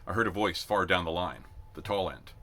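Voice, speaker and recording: A man reading a novel aloud as an amateur narrator, in an expressive storytelling style. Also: low-pitched, a little fast. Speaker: amateur reader.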